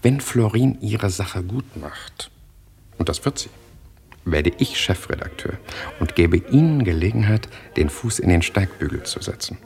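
An actor in a German radio play voicing a worldly, cynical journalist who talks in a glib, mocking, persuasive way. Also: male voice, sits low, animated, unhurried, gravelly, darkish tone, heavily acted. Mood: persuasive, eager. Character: worldly, cynical Parisian theatre journalist, brash schemer. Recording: studio radio play with music behind.

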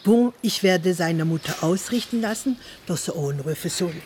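A woman's voice: on the low side, animated, moderate pace, darkish tone, slight background noise, lightly acted. An actress playing a worried, earnest Alsatian village neighbour in a German radio play, slipping French words into her German. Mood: pleading.

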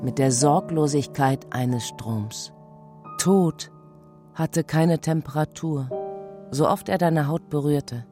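A performer recites poetry in a radio drama. The voice sits at a middle pitch, and music is clearly present underneath. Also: slow. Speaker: performer reciting German translation.

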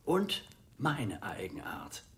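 An actor in a radio play voicing a proud, self-important manservant who muses with weighty deliberation. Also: male voice, medium pitch, sing-song, slow, a bit bright. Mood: solemn, smug.

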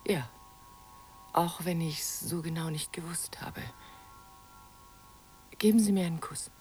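An actress playing a confused, insistent woman in an intimate radio play, often dropping into a breathy whisper. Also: lowish voice, measured pace, a little mellow, faint music behind, close to an everyday voice. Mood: pleading, intimate. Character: confused, insistent woman before a painter's mirror.

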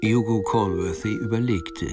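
A man's voice: lowish voice, moderate pace, loud music behind the voice. A man narrating a radio play as a scripted storyteller.

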